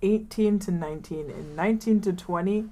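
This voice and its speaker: A woman's voice: mid-range voice, moderate pace. Rambling young woman podcast host. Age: 20s. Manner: rambling, many likes and ums, animated retellings. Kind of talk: casual chat.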